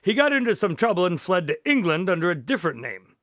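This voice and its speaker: Radio drama doctor male actor: measured, courteous, higher-pitched, dry close sound, formal storytelling. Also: sing-song, a bit bright, dry recording. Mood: composed recounting. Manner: formal, precise phrasing.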